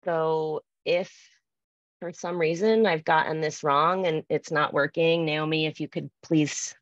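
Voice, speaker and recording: A woman with a low voice leads an informal online workshop. She talks in a halting, think-aloud way as she reads out responses. The sound is close and dry, slightly dulled by the video call. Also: steady tone, dry recording, highs cut off. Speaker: presenter. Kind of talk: informal conference workshop talk, reading out poll responses. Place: video call from a room with bookshelves. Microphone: computer mic on video call.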